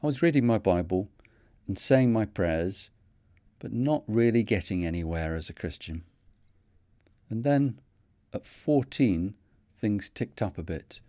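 A man in his fifties with a Manchester accent, hosting a podcast and narrating his own story in a measured, earnest way. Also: lowish voice, animated, dark tone, dry recording, studio quality. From North of England. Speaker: podcast host. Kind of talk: scripted reflective narration.

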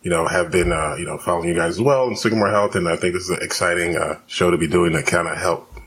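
A man from Houston, Texas, a physician guest talking fast with many fillers in a podcast interview. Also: sits low, a bit bright, slight background noise. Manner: rapid, many fillers and restarts.

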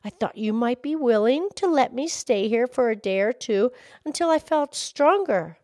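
A woman voicing a timid, weary little word that lives in a boy's mouth, speaking in a gentle, pleading way. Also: a little high, animated, moderate pace, no room sound, clean studio sound, pitched above the performer's own voice, natural voice.

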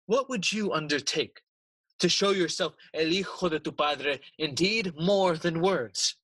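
Husky, scheming king. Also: on the high side, pushed and bright, no echo, full character voice. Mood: goading, conspiratorial. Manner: theatrical, persuasive, deliberate. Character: scheming, smooth-talking king plotting murder. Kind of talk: bilingual Shakespearean audio play acting.

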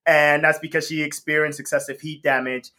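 A man's voice: a little high, flat delivery, brisk, bright, forceful tone. A man from the US Northeast, a company co-founder, chatting enthusiastically as an interview guest with frequent laughs and ums. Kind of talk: casual interview chat.

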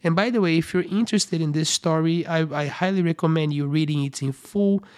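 A man, a medical doctor, hosting a podcast and explaining eye research in simple, friendly terms, often checking in with "right?". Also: a little high.

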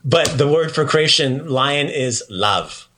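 A man's voice: a little high, expressive, slow pace, pushed and bright, a little hiss or hum. Encouraging man hosting a casual language lesson. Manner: encouraging, praising the learner often. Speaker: podcast host teaching language lesson.

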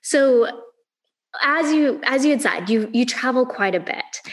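A woman's voice: high voice, expressive, a little slow. A middle-aged woman hosting a podcast, chatty and affirming as she interviews a guest. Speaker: podcast host. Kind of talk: interview conversation.

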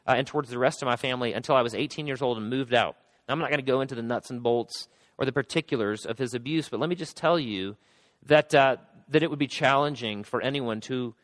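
A pastor preaching a sermon, speaking earnestly with frequent restarts, his voice going hoarse. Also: mid-range voice, fairly bright tone, long reverb tail.

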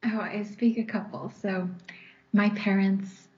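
A woman's voice: mid-range voice, fairly even intonation, takes it slowly, darkish tone, lo-fi sound. A woman whose first language is German, a coach chatting as a podcast guest with frequent laughs and tag questions. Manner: laughs often, says "right?" a lot. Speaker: guest, subconscious-belief coach. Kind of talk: casual podcast conversation.

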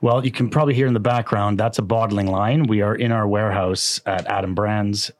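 A man's voice: fairly low pitch, steady tone, brisk, dry recording. A man with a Canadian accent hosting a podcast in a warehouse, chatting and joking with guests. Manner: joking, teasing. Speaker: podcast host. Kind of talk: casual chat. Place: warehouse with a bottling line.

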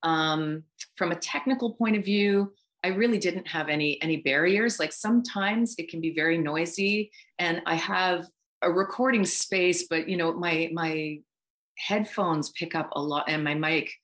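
A woman's voice: lowish voice, unhurried, a bit bright. A middle-aged woman, a voice actor, talking informally as the guest in a casual interview. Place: home recording space, remote.

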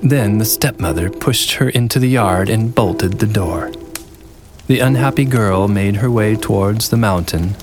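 A man with a fairly low voice tells a fairy tale from a script in a storyteller's role. Clear music plays behind him, along with the sound of rain. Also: a little slow.

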